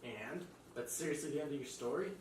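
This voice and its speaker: A man's voice: on the high side, fairly quick, lightly acted. A man playing an irritated, incredulous young housemate with sarcastic questioning in a casual table read. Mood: annoyed, incredulous. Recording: roomy, distant mic, faint noise.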